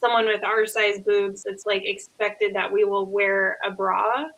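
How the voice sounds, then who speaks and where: A woman's voice: mid-range voice, flat delivery, measured pace, a bit bright, low-quality recording. A young woman co-hosting a casual chat, speaking in an agreeable, conversational way. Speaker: podcast co-host.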